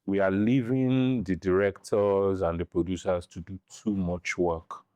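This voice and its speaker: A middle-aged man with a Nigerian accent giving opinions in a podcast interview, speaking deliberately and repeating phrases for emphasis. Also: sits low, steady tone, warm tone, no echo. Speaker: interview guest, film director and writer.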